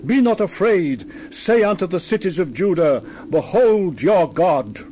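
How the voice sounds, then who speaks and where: A man's voice: sits high, sing-song, unhurried, dark tone, a little hiss or hum. A man reading the Bible aloud in a dramatized style, with solemn, theatrical, emphatic declamation. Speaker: Bible reader.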